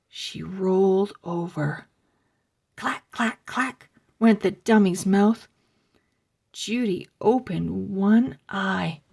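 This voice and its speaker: A woman reading a children's book aloud in an expressive, deliberate storytelling manner. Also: mid-range voice, gravelly, breathy edge. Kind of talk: read-aloud storytelling for kids.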